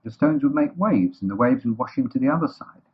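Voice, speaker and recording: A man voicing an earnest, hesitant, muddled storybook bear with a halting way of talking. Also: medium pitch, lively intonation, husky, pitched above the performer's own voice. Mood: earnest, unsure. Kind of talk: children's storybook character voice. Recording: thin phone-like, muddy old recording.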